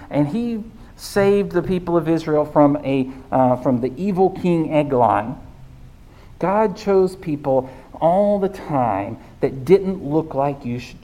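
A pastor with a squeaky voice preaching in a conversational way, often checking in with the listeners. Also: darkish tone. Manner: conversational, keeps asking "right?". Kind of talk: sermon.

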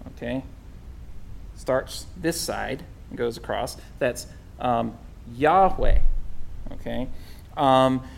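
Chatty preacher tossing out "right?". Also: medium pitch. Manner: conversational, with frequent "right?" and asides; halting restarts and fillers. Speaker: pastor. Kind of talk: sermon.

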